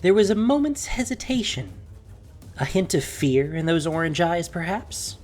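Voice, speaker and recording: Low-voiced woman narrating with dramatic, swooping emphasis. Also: very animated, normal speed, a little mellow, faint music behind. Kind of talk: dramatic scripted story narration.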